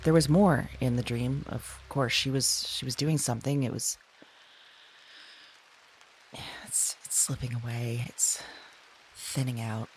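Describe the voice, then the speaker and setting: A woman playing an uneasy, self-conscious dreamer in an audio drama, speaking haltingly into a voice memo and trailing off. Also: a bass voice, fairly even intonation. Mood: frustrated, fading.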